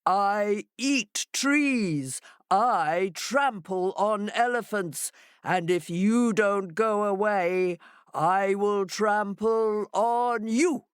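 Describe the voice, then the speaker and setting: Lady doing a big bossy monster voice, super slow and booming. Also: smooth, dry recording, clean studio sound. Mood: menacing. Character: booming monster hiding in a house.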